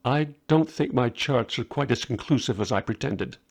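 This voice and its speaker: A man's voice: mid-range voice, moderate pace, vocal fry. A man voicing a humble, self-doubting visionary magus in a story, earnest and hesitant.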